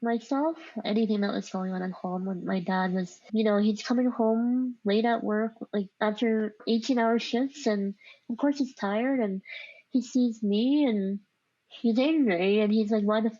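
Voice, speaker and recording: A woman's voice: fairly high pitch, unhurried, mellow, dry recording, highs cut off. A woman with a speech impediment, telling a personal story in an informal, reflective interview style, peppered with "like". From born overseas in Vietnam, grew up in the United States. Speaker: podcast guest telling their life story.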